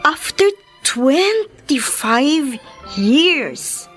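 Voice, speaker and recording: A woman's voice: high voice, sing-song, very slow, fairly bright tone, soft background music, heavily acted. A woman with a Filipino accent playing a hurt, guarded daughter in her twenties in a Tagalog radio drama. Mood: shocked, upset. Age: 20s.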